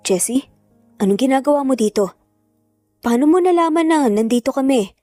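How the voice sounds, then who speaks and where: A woman playing a proud, wounded mother, mellow-voiced. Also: high-pitched, lively intonation, moderate pace, no echo, faint music behind, voice pushed up. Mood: distressed. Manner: tearful, bitter, defiant. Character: proud, hurt, emotional mother of triplets.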